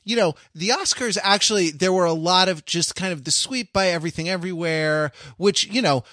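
A man's voice: high voice, brisk, a bit bright, no room sound, studio quality. A man from Los Angeles co-hosting a podcast, talking in a rambling, digressive way and correcting himself as he goes. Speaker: podcast co-host. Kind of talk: casual chat.

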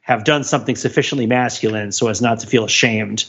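A man's voice: mid-range voice, fast, fairly bright tone, husky, dull audio. A man co-hosting a casual podcast in a wry, self-deprecating, digressive way. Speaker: podcast co-host. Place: near his home office.

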